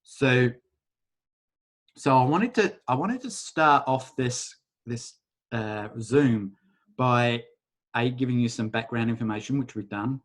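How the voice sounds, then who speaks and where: A man leading a live online workshop over a video call, talking in a casual, rambling way and softly chanting a repeated phrase. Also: mid-range voice, animated, takes it slowly. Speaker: workshop facilitator.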